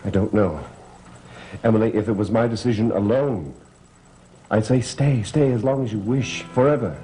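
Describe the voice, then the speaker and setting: An actor playing a brooding, troubled master of the house in a gothic soap, earnest and restrained. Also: male voice, fairly low pitch, normal speed, warm tone, a little hiss or hum, natural voice. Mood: regretful, torn. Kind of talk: gothic soap opera acting.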